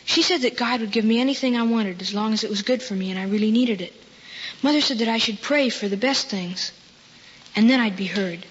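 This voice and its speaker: A man's voice: sits high, brisk, fairly bright tone, husky. A young man giving an earnest, scripted appeal in an old-time radio style. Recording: dull, reverberant, hissy old radio broadcast.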